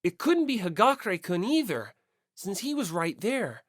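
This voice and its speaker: A woman's voice: mid-range voice, sing-song, normal speed, dry recording, studio quality. A woman reading first-person scripted story narration as an audiobook narrator.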